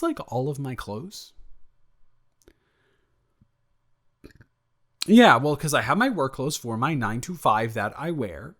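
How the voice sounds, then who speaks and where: A man acts out a smitten young male roommate in a cozy romantic audio roleplay. His mid-pitched voice moves at an unhurried, slow pace. He rambles casually, cuts himself off and answers his own questions, sheepish yet playfully defensive. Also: a bit bright. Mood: casual rambling.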